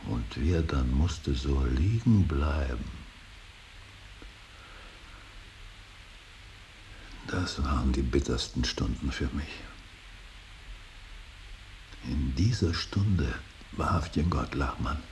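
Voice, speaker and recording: A stern, brooding old father in mourning for a solemn radio play. His voice is extremely low and gravelly, with halting, weighty phrasing. A faint hiss lies under the recording. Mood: quiet anguish. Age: older. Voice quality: very deep, gravelly. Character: grieving old painter, stern, brooding, bitterly devout father. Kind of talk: slow, solemn German stage-style radio drama acting.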